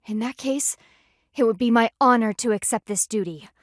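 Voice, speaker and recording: A woman plays a proud, dutiful female panda warrior for a video game. Her voice sits fairly high and is recorded dry and close, with no room around it. She speaks in formal, clipped phrases with a haughty, sardonic edge and a stern temper. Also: moderate pace, no room sound, lightly acted. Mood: earnest, formal. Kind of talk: video game character voice lines.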